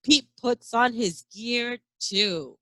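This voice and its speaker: A woman's voice: on the high side, takes it slowly, bright, forceful tone, no echo. A woman teacher reading a children's picture book aloud expressively, with chatty asides and questions to young listeners. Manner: acts out sound effects and exclamations. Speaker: early education teacher.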